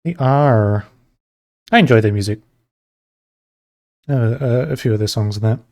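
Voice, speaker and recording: A man streaming while he draws, chatting casually with viewers and rambling between pauses. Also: mid-range voice, no room sound, studio quality. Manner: relaxed, rambling, talks to chat between pauses. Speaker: streamer drawing live. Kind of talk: casual chat while drawing.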